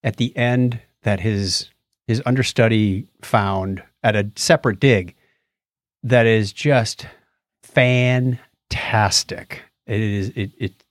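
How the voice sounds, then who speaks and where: A man's voice: fairly low pitch, fairly even intonation, slow, no room sound, clean studio sound. A man chatting casually and enthusiastically as a podcast co-host. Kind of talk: casual chat.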